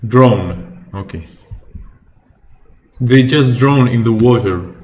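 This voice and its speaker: Slow, halting Algerian man on a stream. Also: mid-range voice, a little mellow. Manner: halting non-native English, searching for words. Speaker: livestream host. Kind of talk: casual livestream chat with viewers.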